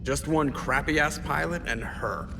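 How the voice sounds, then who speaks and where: A flippant young starship pilot in a game. His voice is fairly high, his delivery casual and sarcastic. There is faint noise behind him. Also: a little slow, creaky. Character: wisecracking, irreverent young male starship pilot.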